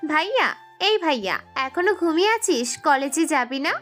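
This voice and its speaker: A woman's voice: sits high, lively intonation, moderate pace, a bit bright, faint music behind. A woman playing a playful, pouty little sister in an audio story, with a childlike, teasing, sweetly whining way of talking. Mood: nagging. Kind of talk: Bengali audio story acting.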